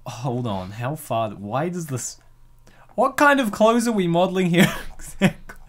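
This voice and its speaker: A man's voice: on the high side, animated, measured pace, a bit bright. A man hosting a comic reading, giggling often and rambling with lots of fillers. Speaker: host reading a comic dub. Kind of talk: casual chat.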